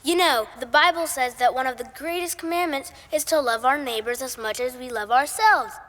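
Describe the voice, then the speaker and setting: A woman's voice: high-pitched, fairly quick, a bit bright. A woman playing a cheery church caroling organiser, giving a scripted welcome speech in a puppet show. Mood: cheery, welcoming. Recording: echoey hall with music behind. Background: music.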